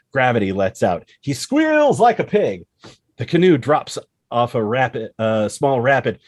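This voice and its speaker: A man's voice: mid-range voice, no room sound. A man reading a screenplay's action lines as dramatic narration, expressively and in short punchy phrases. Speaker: screenplay reader.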